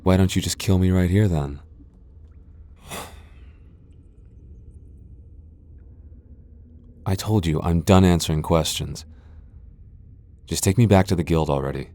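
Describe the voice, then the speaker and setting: A proud vampire lord acted out by a man speaks in a deep, dark-toned voice. He is sardonic and defiant, with a dry, sighing delivery suited to a fantasy ASMR roleplay. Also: close to an everyday voice. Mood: defiant, weary. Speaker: voice actor in a roleplay audio.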